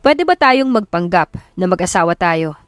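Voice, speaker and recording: A woman's voice: lowish voice, very animated, moderate pace, no echo, clean studio sound. Woman playing an insistent, earnest young rich man. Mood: earnest, pleading. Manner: emphatic, pleading.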